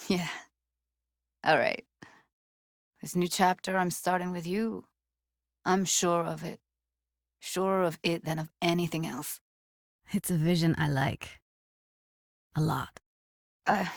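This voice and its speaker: A woman's voice: lowish voice, steady tone, slow pace, creaky, pauses often, no echo, studio quality, heavily acted. A woman playing a guarded, blunt but tender young techie in a video game, speaking in a casual, clipped, streetwise way. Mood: reflective, tender. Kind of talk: naturalistic video game voice acting.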